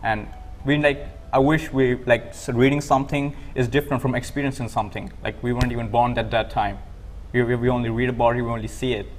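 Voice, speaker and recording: A man's voice: mid-range voice, fairly even intonation, fast, a little hiss or hum. A young man from Pakistan, an interview guest, speaking hesitantly with "like" fillers and repeated words. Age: young adult. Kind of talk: casual interview talk.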